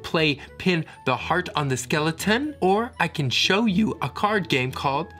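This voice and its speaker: A kindly storybook schoolteacher lady, voiced by a man, unhurried and deliberate. Her voice is soothing and clear-edged with brightness. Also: fairly high pitch, sing-song, faint music behind, exaggerated voice. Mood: encouraging.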